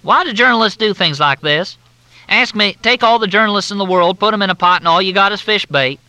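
A man's voice: high-pitched, brisk, bright, forceful tone, no echo, pitched above the performer's own voice, exaggerated voice, poor audio. Man doing a drawling Southern army major. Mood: folksy, chatty. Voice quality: thick drawl, everything sounds like a question.

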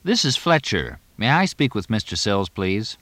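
A man's voice: fairly low pitch, sing-song, normal speed, fairly bright tone, no room sound. A man voicing a wry, teasing horse owner in audiobook dialogue, dry and inquisitive as he banters. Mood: curious, wry.

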